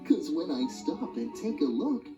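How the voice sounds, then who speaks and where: A woman reading a rhyming children's animal alphabet book aloud in a sing-song, expressive way. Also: low-pitched, measured pace. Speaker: storybook reader. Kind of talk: children's read-along narration. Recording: dull lo-fi audio with music behind.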